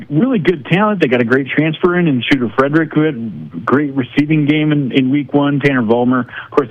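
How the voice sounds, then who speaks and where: A man's voice: mid-range voice, dry recording. American male sports analyst, dry muffled audio. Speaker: high school sports broadcaster, guest analyst.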